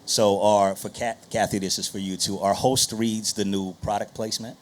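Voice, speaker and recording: A man's voice: fairly low pitch, flat delivery, moderate pace, a little hiss or hum. A man moderating a panel and putting questions to guests in a conversational style. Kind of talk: conversational interviewing.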